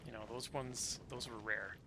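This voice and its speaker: A man's voice: medium pitch, steady tone, normal speed, pushed and bright, a little hiss or hum. A man in his thirties chatting casually and ramblingly on a livestream while he plays a game. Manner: rambling, easygoing storytelling. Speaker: game streamer. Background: video game music.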